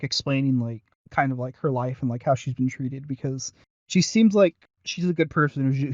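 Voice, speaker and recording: Halting, higher-voiced man co-hosting a podcast. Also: no room sound, lo-fi sound. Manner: halting, self-deprecating, often loses his thread. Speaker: podcast co-host. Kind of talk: casual chat, reviewing audio roleplay series.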